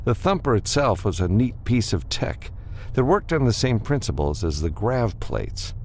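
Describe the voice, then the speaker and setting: A man's voice: lowish voice, sing-song, moderate pace, a little mellow, a little hiss or hum. A man reading a science fiction novel as scripted audiobook narration.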